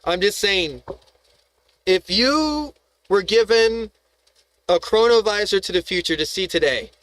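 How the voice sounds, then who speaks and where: A man's voice: sits high, expressive, unhurried, a bit bright, dry recording, low-quality recording. A man hosting a live stream, ranting in a heated, profane, rambling way, full of restarts and rhetorical questions.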